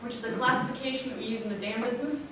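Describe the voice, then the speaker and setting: A woman with a loud, clear voice presenting a water infrastructure plan at a public community meeting. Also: on the high side, steady tone, racing. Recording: echoey room, distant mic, background noise.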